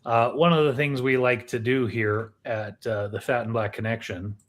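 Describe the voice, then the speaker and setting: Male host, average pitch, casual and conversational, phrases things deliberately and leads with questions. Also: flat delivery. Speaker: podcast host.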